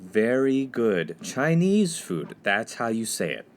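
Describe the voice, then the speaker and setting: A man's voice: on the low side, very animated, slow pace. An actor playing an earnest, prim and exasperated young college freshman in a comedy radio play. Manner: earnest, polite, pedantic.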